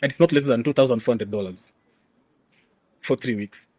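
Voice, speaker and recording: A man's voice: medium pitch, moderate pace, a little mellow, dry recording. A man with a Kenyan accent speaking as an expert interview guest, explaining things in an emphatic, assertive way.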